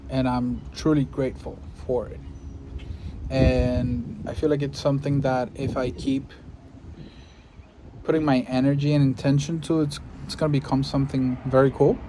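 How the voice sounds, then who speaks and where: A man's voice: medium pitch, steady tone, unhurried, mellow, slight background noise, rough recording. A man, a Spanish speaker, musing thoughtfully in a casual monologue to camera and often searching for his words. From Venezuela. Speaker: podcaster and video creator.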